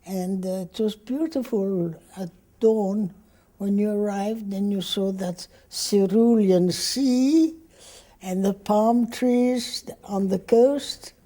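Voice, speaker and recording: Older woman recalling her childhood slowly. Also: medium pitch, mellow. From Egypt. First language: Hebrew. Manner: slow, reflective storytelling with hesitations. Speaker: interviewee. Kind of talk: oral history interview.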